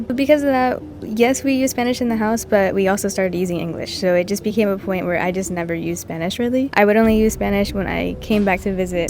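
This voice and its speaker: A woman's voice: medium pitch, darkish tone, slight background noise. A young woman from Puerto Rico telling her personal story in a casual, chatty way, with many "like" and "kinda" fillers. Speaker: vlogger. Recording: music playing in the background.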